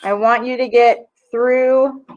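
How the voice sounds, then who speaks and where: A young adult woman teaching an art class over a video call, brisk and teasing with her students. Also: fairly high pitch, fairly even intonation, lo-fi sound. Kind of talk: online class instruction. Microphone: laptop mic on a video call.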